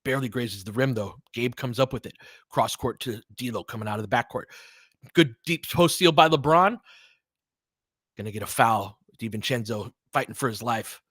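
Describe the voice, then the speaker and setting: A man calls basketball in a casual, conversational way, mixing analysis with short, punchy play-by-play. His voice has a medium pitch and his wording is slangy. The sound is dry, with very little room in it. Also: moderate pace, a bit bright, dry recording.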